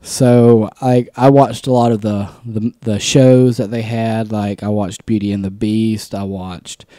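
Chatty mellow-voiced man in his twenties. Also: fairly low pitch, little pitch movement, a little slow, no echo, studio quality. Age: 20s. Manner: chatty, laughs often, acts out quotes. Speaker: podcast co-host. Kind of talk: casual chat.

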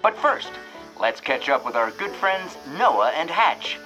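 A man's voice: fairly high pitch, sing-song, a little slow, highs cut off. A man playing a cheerful, folksy small-town host who announces an old-time radio hour in a presentational way. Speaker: host and narrator. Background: plucked guitar music.

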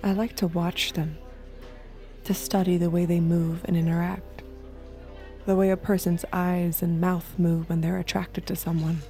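Cool, watchful woman with mellow voice. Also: on the low side, level tone, measured pace, faint music behind. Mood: cool, intimate. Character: cool, watchful, manipulative woman escort narrating her thoughts. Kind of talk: intimate scripted audio drama narration and dialogue.

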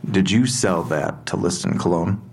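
A gravelly, low-voiced police detective. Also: male voice, exaggerated voice. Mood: probing. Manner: deliberate, matter-of-fact questioning. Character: methodical, probing male police detective, quietly pressing. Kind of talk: audio drama dialogue.

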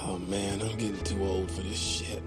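A man's voice: low voice, level tone, music in the background, close to an everyday voice. An actor playing a wisecracking, cocky but weary male sidekick in an audio drama, with a breezy joking manner. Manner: joking, breezy, drawn-out one-liners.

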